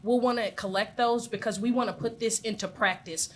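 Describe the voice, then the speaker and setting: A woman's voice: on the high side, fairly even intonation, fairly quick, a little hiss or hum. Upbeat woman hosting a live meeting. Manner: upbeat, encouraging, affirming. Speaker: meeting facilitator. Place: large meeting room with audience. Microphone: handheld mic.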